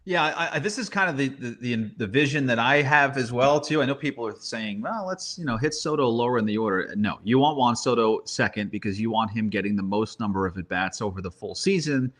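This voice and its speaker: A man's voice: medium pitch, fairly quick, few pauses, fairly bright tone. A man giving off-the-cuff sports analysis in casual talk, conversational, often repeating words as he thinks aloud. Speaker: baseball commentator, guest analyst.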